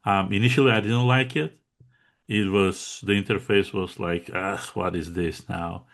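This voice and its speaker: A Bulgarian-accented man speaks in a deep voice as an interview guest. He chats casually and laughs easily, and his sentences are full of fillers and restarts. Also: fairly even intonation, normal speed. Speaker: guest contributor.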